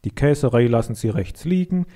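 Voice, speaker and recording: A man reading a children's story as narrator, in an expressive scripted audiobook style. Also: medium pitch, fairly quick.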